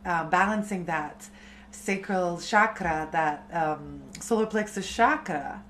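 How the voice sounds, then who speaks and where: A woman in her thirties, a chanting teacher, talking softly and unhurriedly to her live-stream community. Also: lowish voice, a little hiss or hum. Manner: slow, soft, unhurried spiritual talk.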